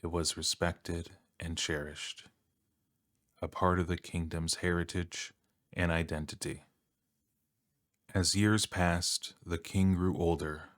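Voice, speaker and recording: Soft-spoken deep man narrating bedtime ASMR. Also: dry recording, studio quality. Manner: calm, soothing, measured storybook delivery.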